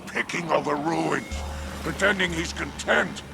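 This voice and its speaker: A man playing a sly, scheming alien crime boss in a video game, coy and drawling, with a gravelly, creaky voice. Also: on the high side, unhurried. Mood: smug, musing. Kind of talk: video game character acting. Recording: music behind the voice.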